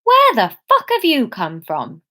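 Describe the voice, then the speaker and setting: A woman's voice: high-pitched, wildly swooping pitch, a little slow, bright, forceful tone, full character voice. A woman reading the lines of an exasperated young woman, who swears in disbelief. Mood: incredulous. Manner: incredulous, swearing.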